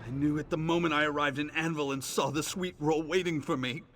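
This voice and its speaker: A man's voice: fairly high pitch, fairly even intonation, a little fast, fairly bright tone, faint music behind. A man voicing a dying male merchant who is bitter and scheming, speaking in an accusing way, as AI-voiced game dialogue.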